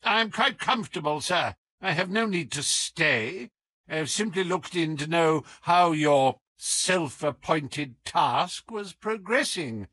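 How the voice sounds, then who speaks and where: A man acts out a haughty old lord in a classic storybook. He is stiff and disdainful, with an impatient sneer and an animated rise and fall. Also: a little high, takes it slowly, no room sound, voice pushed up, full character voice.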